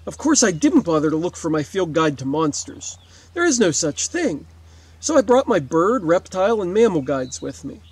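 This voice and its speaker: A man's voice: high voice, very animated, normal speed, husky, no echo. A man in his forties, a teacher, reading aloud expressively to children outdoors and explaining as he goes. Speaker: fourth-grade teacher. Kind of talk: read-aloud lesson for children, with asides. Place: outdoors beside a wetland lake.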